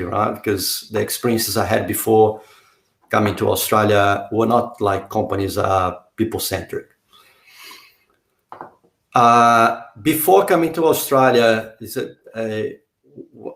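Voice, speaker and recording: Low-voiced man, halting speech. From South America. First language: not English. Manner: halting, many restarts and fillers. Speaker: guest, company director. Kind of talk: casual interview talk. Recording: roomy echo.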